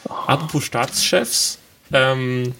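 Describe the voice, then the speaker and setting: A man's voice: medium pitch, extremely slow, gravelly, a bit bright, slight background noise. A man co-hosting a podcast in chatty casual talk, joking about himself, with many fillers. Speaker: podcast co-host. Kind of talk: casual chat.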